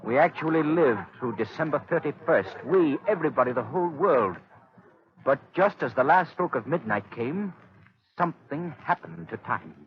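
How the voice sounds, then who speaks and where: An actor playing a calm, commanding gentleman crime-fighter in 1930s radio drama style, speaking gravely and deliberately. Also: on the high side. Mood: intent, realizing. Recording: muffled 1930s radio broadcast recording.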